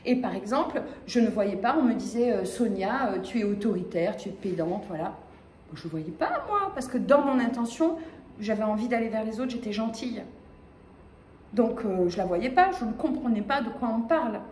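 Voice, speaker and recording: A fairly high-voiced woman in her fifties talks to an audience in a small lecture room, animated and quick to restart her sentences. The room is echoey, with a faint hum of ambience behind her. She comes from the Paris region. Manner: animated, gesturing, many fillers and restarts. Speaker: speaker giving a talk. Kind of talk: lively conference talk.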